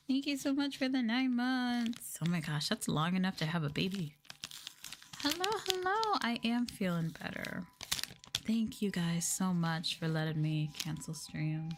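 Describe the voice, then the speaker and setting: A woman streamer chatting softly and gently in an ASMR style, often slipping into a whisper, close to the microphone. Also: on the high side, lively intonation, a little slow, noisy background. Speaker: streamer host of an ASMR livestream. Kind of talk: soft-spoken ASMR chat with whispering. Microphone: close microphone for headphone listening.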